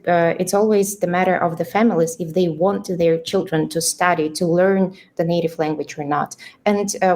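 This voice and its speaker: A woman's voice: on the low side, warm tone. A young woman, a podcast guest answering at length in a casual, thoughtful way with many fillers and restarts. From Tuva, Russia. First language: Russian.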